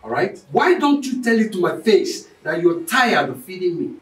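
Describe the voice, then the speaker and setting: Man as bitter husband, demanding, lively pitch, echoey distant room. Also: moderate pace. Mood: resentful. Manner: resentful and demanding. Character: angry jobless husband demanding food. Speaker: actor. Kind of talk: melodrama acting. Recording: echoey room from afar, faint music.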